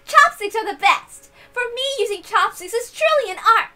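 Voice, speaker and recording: Super high sharp smug young lady for a visual novel, sarcastic, showy, big exclamations. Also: expressive, moderate pace, soft background music, exaggerated voice. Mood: haughty, excited. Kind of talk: hobby visual novel voice reading.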